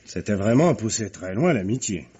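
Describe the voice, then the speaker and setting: Husky, animated magistrate. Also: male voice, on the low side, moderate pace, heavily acted. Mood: sceptical. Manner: formal, interrogating, clipped. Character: probing, authoritative male examining magistrate, formal and sceptical. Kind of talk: French radio mystery drama acting. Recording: dull, muffled old radio recording.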